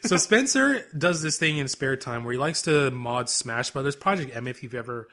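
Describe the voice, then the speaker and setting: A young man from Canada chats loosely into a desk mic, with a bright, forward voice that sits at a middle pitch. He rambles and trails off, laughing along the way. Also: expressive, normal speed. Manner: laid-back, rambling, laughs often. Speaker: podcast co-host. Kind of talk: casual podcast chat.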